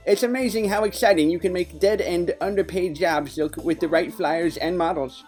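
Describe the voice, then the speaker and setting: An actor playing a quirky, earnest detective who narrates an audio play in matter-of-fact, nerdy game jargon. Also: male voice, sits high, normal speed, darkish tone, soft background music, close to an everyday voice. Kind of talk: scripted first-person audio play narration.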